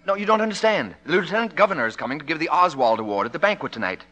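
An actor playing a self-important, blustering small-town mayor in a 1950s radio comedy. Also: male voice, fairly high pitch, very animated, very fast, bright, forceful tone, heavily acted. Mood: sheepish, persuading. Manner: pompous, blustering.

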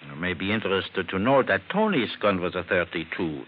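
Deep-voiced, formal, obliging dealer. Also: male voice, lively intonation, a little hiss or hum. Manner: formal, measured, polite phrasing. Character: smooth, accommodating dealer in valuable pieces, subtly evasive. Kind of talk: 1940s radio drama acting. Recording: old lo-fi radio drama recording.

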